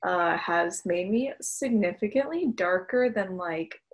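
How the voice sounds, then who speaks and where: A woman's voice: on the low side, lively intonation, a little slow. A woman from Miami, a guest on a casual podcast, speaking in an easygoing way with hesitant fillers. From Miami, United States.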